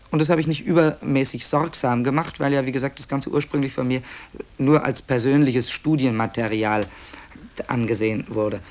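A guy acting as an apologetic radio play narrator, informal, kinda hesitant, talking you through his tapes, mid pitch. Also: natural voice. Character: author narrator presenting his tape recordings, apologetic man.

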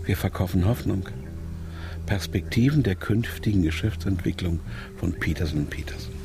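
Kidnapped businessman: lowish voice, anxious but keeping control, radio play with music. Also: moderate pace, creaky. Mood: desperate, searching. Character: anxious captive businessman trying to stay composed. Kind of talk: radio play inner monologue and narration.

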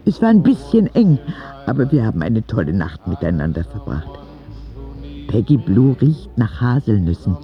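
A man's voice: lowish voice, big pitch swings, normal speed, highs cut off, faint music behind. A man playing a candid, eager young boy who narrates his story confidingly in a radio drama. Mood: candid, excited.

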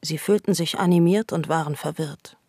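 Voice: medium pitch, normal speed, dark tone, no echo. Dry, close literary narrator. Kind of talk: scripted literary narration for a radio play.

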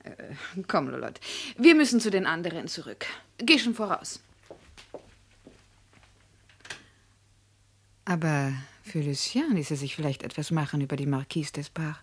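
Shrewd, scheming lady of the nobility, theatrical and pointed, very animated pitch, radio drama. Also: normal speed, hardly any pauses, husky, exaggerated voice. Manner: theatrical, pointed, aristocratic diction. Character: haughty, worldly provincial noblewoman, shrewd and scheming. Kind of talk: German radio drama acting.

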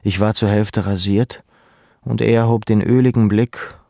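Low gravelly watchful young prisoner, melancholy, introspective, dry close recording, radio drama. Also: male voice, fairly even intonation, measured pace, warm tone, dry recording. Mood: sober, reflective. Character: young deported male labour camp prisoner, melancholy, watchful. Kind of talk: literary radio drama narration.

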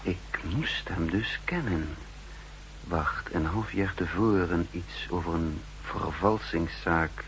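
Low-voiced, wary spy narrator. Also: male voice, fairly bright tone. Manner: deliberate, introspective. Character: wary male spy narrating his inner thoughts. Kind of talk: 1960s radio drama first-person narration. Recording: noisy vintage 1960s radio recording.

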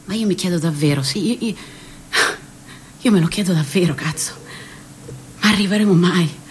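A woman's voice: lowish voice, normal speed, a little hiss or hum, voice pushed down, close to an everyday voice. A woman performing a bitter, cocky thirty-year-old jobless man in a radio theatre monologue, restless and profane, swinging between muttering and outbursts. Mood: agitated.

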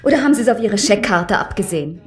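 A woman's voice: medium pitch, animated, fast talker, a little mellow, faint music behind, natural voice. An actress in a German radio drama playing a bitter avenging woman who confronts a seducer with sarcastic, accusing, pointed questions. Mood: cutting, accusing.

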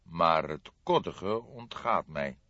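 A man voicing a grey-haired, courteous and shrewd old detective in an audiobook, speaking in a measured, formally polite way. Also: low-pitched, expressive, pushed and bright, dry recording, dull audio, exaggerated voice. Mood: slow, feigned puzzlement. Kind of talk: audiobook character voice.

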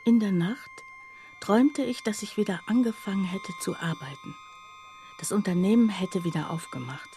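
A woman acting in a radio play as a reflective friend who narrates in a confiding, measured way. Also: mid-range voice, dark tone, a little hiss or hum, close to an everyday voice. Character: reflective woman narrator, loyal, envious friend of accused mother.

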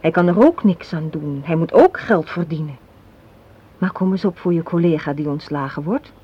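A woman's voice: low voice, animated, normal speed, breathy edge. An actress playing a hard-minded, cynical young woman in a Dutch radio play, arguing and lecturing with rhetorical questions. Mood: bitter, lecturing. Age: young adult. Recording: dull, noisy old radio recording.

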